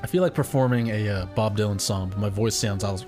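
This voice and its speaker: A man co-hosting a casual chat show with a raspy voice, talking bluntly and swearing freely. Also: lowish voice, fast, darkish tone, soft background music. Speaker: co-host.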